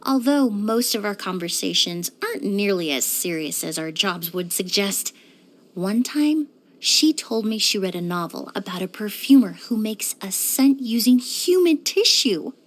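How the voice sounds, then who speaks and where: An actress voicing a poised young woman perfumer in a video game, speaking in a measured, articulate and refined way. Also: medium pitch, big pitch swings. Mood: composed, lightly amused. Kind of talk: scripted video game character monologue.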